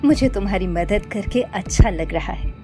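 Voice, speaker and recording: A woman voicing a kind, generous young male village clerk in a cartoon story, gentle and helpful. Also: medium pitch, normal speed, faint music behind, natural voice. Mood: kindly. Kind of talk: Hindi cartoon story acting.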